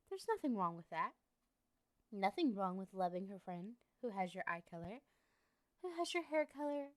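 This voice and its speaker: A woman playing an obsessive, lovesick yandere in an ASMR roleplay, telling her story to her best friend in a dramatic, defensive way that moves between soft hush and insistence. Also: medium pitch, no echo. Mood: defensive, insistent.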